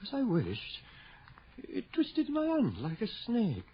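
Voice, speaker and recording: An actor playing an excitable, plain-spoken middle-aged working-class husband and father in a 1980 radio drama. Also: sits high, takes it slowly, slight background noise, exaggerated voice. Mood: startled. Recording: muffled old radio broadcast.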